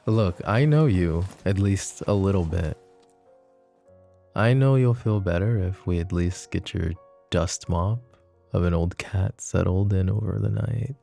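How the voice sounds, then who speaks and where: A man playing a flirty, possessive mafia boss in an intimate roleplay, speaking sardonically and softly with slow, deliberate pauses. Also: low voice, gravelly, faint music behind. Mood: smooth, coaxing. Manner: sardonic, soft-spoken, slow pauses, swearing and sighs. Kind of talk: intimate ASMR roleplay spoken to the listener.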